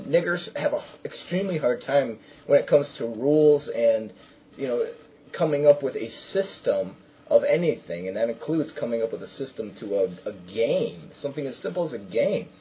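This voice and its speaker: Very husky, blunt man on lo-fi audio. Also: mid-range voice, expressive, moderate pace. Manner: coarse, blunt, profane. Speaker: talk show participant. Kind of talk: casual opinionated talk. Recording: dull lo-fi audio.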